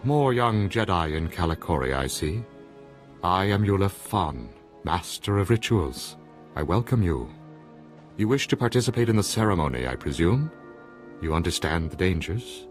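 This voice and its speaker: Deep, solemn master of rituals. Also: male voice, sing-song, soft background music. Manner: formal, measured, ceremonial. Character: solemn village master of rituals, formal and ceremonial. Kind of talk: video game dialogue.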